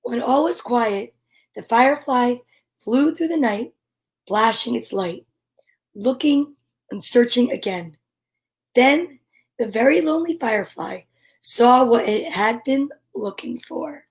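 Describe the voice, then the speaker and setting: A woman's voice: a little high, lively intonation, slow pace, long pauses, rough recording. A woman hosting a children's storytime, reading a picture book aloud expressively with chatty asides. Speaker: storyteller and host.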